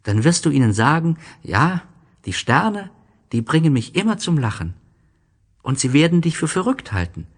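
A man playing a gentle, wistful young boy in a German radio play, speaking slowly, earnestly and tenderly. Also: fairly high pitch, big pitch swings, breathy edge. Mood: consoling, playful. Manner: slow, earnest, tender, simple phrasing.

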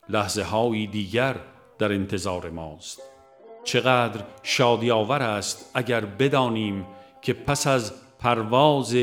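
A man narrating a spiritual audiobook in a measured, solemn way, phrase by phrase. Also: mid-range voice. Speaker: audiobook reader. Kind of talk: scripted devotional narration.

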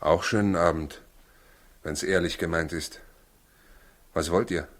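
An actor in a 1960s German radio play, playing Joseph as a brooding, earnest, humble new father with a husky voice. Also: deep voice, fairly even intonation, measured pace, fairly bright tone. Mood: guarded, polite.

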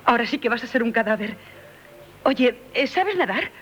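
Devoted, insistent young lover. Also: female voice, fairly high pitch, expressive, moderate pace, a bit bright. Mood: resolute. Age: young adult. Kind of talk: 1970s radio drama acting. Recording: noisy 1970s radio broadcast recording.

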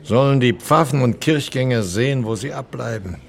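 A man's voice: medium pitch, normal speed, creaky, natural voice. An actor playing a pompous former opera star in his eighties, grandiose and bitter, declaiming theatrically in an audio drama. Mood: haughty. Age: 80s. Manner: theatrical, declamatory, self-aggrandizing. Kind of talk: German audio drama dialogue and monologue.

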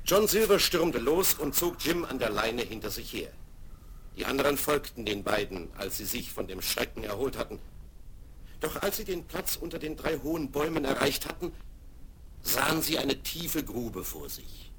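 A man's voice: medium pitch, very animated, moderate pace, fairly bright tone, slight background noise. A man narrating an adventure radio play in scripted storytelling style. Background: music.

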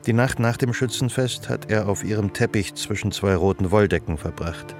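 A man narrating a radio drama in a measured, literary storytelling manner. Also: fairly low pitch, music in the background.

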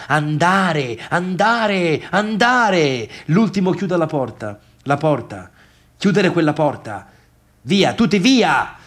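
An actor playing a bitter, unsteady failed man in a theatrical radio monologue, halting and repeating himself between orders and murmurs. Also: high voice, full character voice. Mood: agitated, commanding. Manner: repetitive, halting, swinging from barked orders to murmurs. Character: bitter, unsteady failed man dismissing his late guests.

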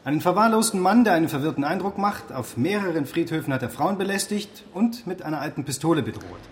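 A man's voice: fairly high pitch, lively intonation, quick pace, a little hiss or hum, close to an everyday voice. An actor playing a dismissive, officious male chief police inspector in a radio drama, lecturing and condescending.

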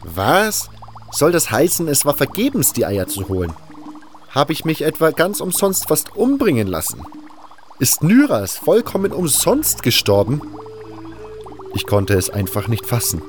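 A man's voice: a little high, huge pitch swings, a little slow, a little hiss or hum. An actor playing a blunt, weary adventurer who narrates his own quest and speaks his lines in an audio drama. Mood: indignant. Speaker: narrator and protagonist. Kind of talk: scripted first-person audio drama narration and dialogue.

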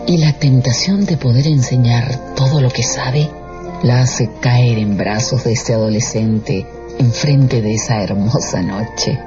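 A man narrating a literary radio drama in a measured, theatrical storytelling style. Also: medium pitch. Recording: muffled radio production with loud music.

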